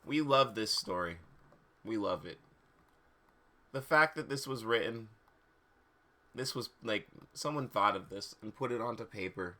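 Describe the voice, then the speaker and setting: A man's voice: lowish voice, a little slow, pauses often, bright, forceful tone. A man streaming a live dramatic reading of fan fiction, with mock seriousness, stumbles over words and amused asides.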